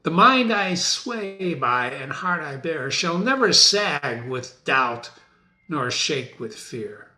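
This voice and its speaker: A man playing a defiant, world-weary tyrant king in a dramatic reading of Shakespearean verse, commanding and given to sudden shouts. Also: fairly high pitch, lively intonation, slow, heavily acted. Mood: scornful.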